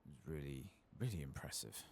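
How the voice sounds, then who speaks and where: A man with a gravelly voice, speaking softly and sometimes whispering in an ASMR game playthrough commentary. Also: animated, a little fast, slight background noise. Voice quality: gravelly, very deep. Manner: soft-spoken, sometimes whispering. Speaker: ASMR gaming streamer.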